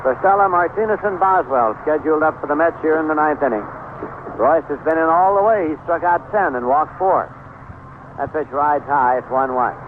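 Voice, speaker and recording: A man's voice: a little high, moderate pace. Tinny vintage radio baseball announcer, American man. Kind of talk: live radio sports commentary. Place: stadium broadcast booth. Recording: noisy band-limited 1970s AM radio broadcast.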